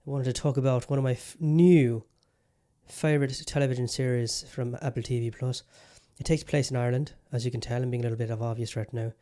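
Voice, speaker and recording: A man's voice: medium pitch, steady tone, quick pace, warm tone. A man hosting his own podcast in a casual solo chat, upbeat and rambling, often repeating his phrases. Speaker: podcast host.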